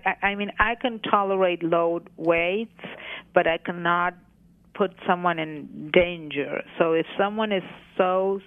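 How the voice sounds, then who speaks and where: A middle-aged woman from Argentina answering interview questions as an expert guest in a halting, thoughtful, self-correcting way, with narrow phone-like radio sound. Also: fairly low pitch, creaky, dry recording. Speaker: guest, psychologist treating eating disorders.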